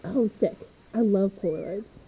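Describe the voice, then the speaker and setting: A very mellow, husky teenage girl. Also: mid-range voice, unhurried, low-quality recording. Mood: enthusiastic. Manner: gentle, casual, reassuring. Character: easygoing, reassuring teenage girl, new in town. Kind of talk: fiction podcast acting.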